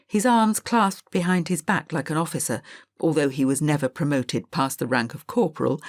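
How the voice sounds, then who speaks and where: A woman narrates a children's story. Her voice sits a little low for a woman, and the recording is dry, as for an audiobook. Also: no echo.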